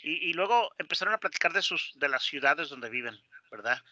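A male English teacher explains a lesson in a very bright, forceful voice. He pauses and repeats words as he goes. The sound is close and dry, with a slightly dull online-class quality. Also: on the high side, expressive, no room sound.

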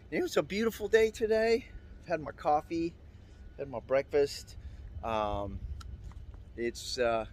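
A man's voice: mid-range voice, slight background noise. A middle-aged man vlogging from his sailboat at a marina. He talks casually and rambles, with lots of "uh"s and restarts. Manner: relaxed, rambling with many fillers. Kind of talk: casual vlog narration.

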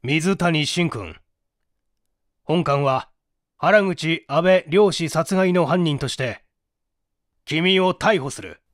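Man acting a stern, deliberate police detective. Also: on the high side, dry recording, studio quality. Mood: stern, commanding. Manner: formal, deliberate, commanding. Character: stern, composed, formal male police detective.

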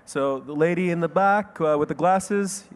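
A young man moderates a conference panel on stage, speaking into a handheld mic. His voice sits a little high, and he keeps things brisk with light joking. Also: big-room sound.

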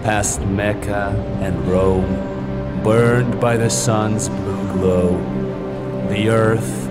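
Very slow man reciting over loud music. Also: fairly low pitch. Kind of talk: poetry recitation.

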